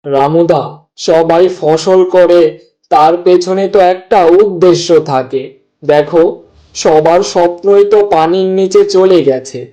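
A man voicing a steady, practical farmer neighbour in a cartoon, consoling and reasoning, on a muffled recording. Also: on the high side, unhurried, lightly acted. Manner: soothing, reasoning. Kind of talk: cartoon voice acting.

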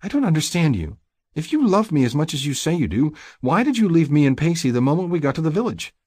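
A male narrator plays a small, defiant woman in an audiobook romance. Her voice sits far higher than his own, with a breathy husk. She sounds wounded and indignant, speaking bluntly and casually in a dry, close space. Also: sing-song, quick pace, a little mellow, no room sound. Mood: hurt, confused.

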